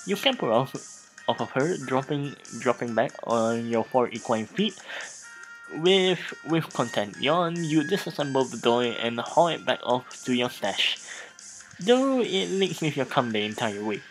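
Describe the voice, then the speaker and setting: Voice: mid-range voice, lively intonation, measured pace, faint music behind. A let's play host giving a dramatic reading of game text, stumbling over words and repeating phrases.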